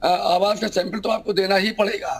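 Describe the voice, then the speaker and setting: A man speaks as a lawyer giving an interview on a legal case. His voice is high for a man and noticeably husky. He explains matters in formal terms. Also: steady tone, moderate pace.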